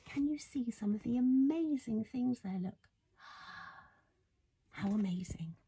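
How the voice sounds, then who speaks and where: A husky woman reading a lively bedtime story. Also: fairly high pitch, unhurried. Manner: affectionate, playful, exclaiming in wonder. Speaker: storybook reader. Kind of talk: expressive bedtime story read-aloud for a child.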